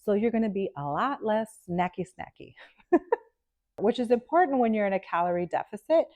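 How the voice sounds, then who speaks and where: A woman's voice: medium pitch, animated, normal speed, darkish tone. A woman nutritionist vlogging to camera, upbeat and chatty, with a nasal sound from a cold, checking in with "okay?". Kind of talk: direct-to-camera health vlog.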